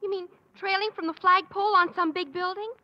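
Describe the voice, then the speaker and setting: A woman's voice: very high-pitched, fairly even intonation, brisk. An earnest teenage girl. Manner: earnest, sweet. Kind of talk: 1950s radio sitcom acting. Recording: dull, hissy old radio broadcast.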